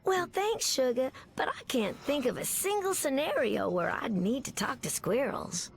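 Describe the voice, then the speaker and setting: A confident Southern American woman superhero, with a slightly high voice and gravelly creak at the edges. She drawls sassy, folksy game banter. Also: expressive, normal speed. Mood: playful, sassy. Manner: drawling folksy banter, calls people sugar. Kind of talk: video game character dialogue.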